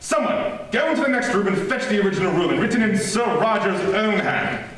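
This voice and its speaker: Man playing a high-pitched, pompous old village traditionalist. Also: big pitch swings, fairly quick, fairly bright tone. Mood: outraged. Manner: pompous, outraged, declamatory. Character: indignant old village traditionalist, a leading citizen. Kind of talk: old-time radio drama acting. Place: theater stage, live performance. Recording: very echoey theater, distant mic.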